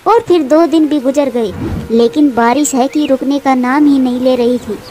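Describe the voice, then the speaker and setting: High-pitched woman narrating a children's story. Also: moderate pace, dark tone.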